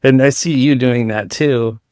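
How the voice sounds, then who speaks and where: A man with a light voice, a guest in a casual interview, talking in rapid-fire analogies with frequent restarts. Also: mid-range voice, fairly even intonation, darkish tone, dry recording, clean studio sound.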